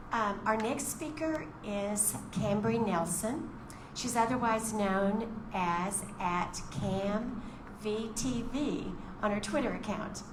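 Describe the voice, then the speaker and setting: Lady emcee reading a bio, chatty, echoey noisy hall. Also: mid-range voice. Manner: reads bios with chatty asides. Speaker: event emcee. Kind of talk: introducing speakers.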